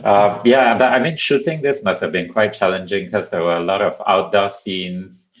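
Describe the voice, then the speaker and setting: A man, interviewing filmmakers in a relaxed, loosely phrased way. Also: sits low, moderate pace, lo-fi sound. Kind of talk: casual interview questions.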